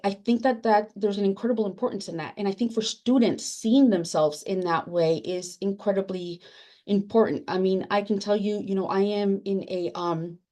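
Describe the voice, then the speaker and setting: A woman's voice: mid-range voice, mellow. A woman whose first language is Spanish, answering a panel question earnestly on a video call, with many fillers and restarts. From immigrant, first generation. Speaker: book editor on a panel.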